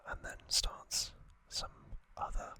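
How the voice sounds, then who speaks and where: A man hosting ASMR, narrating gently in a breathy, unvoiced whisper with frequent pauses. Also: no echo. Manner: slow, gentle, pausing delivery. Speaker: ASMR channel host.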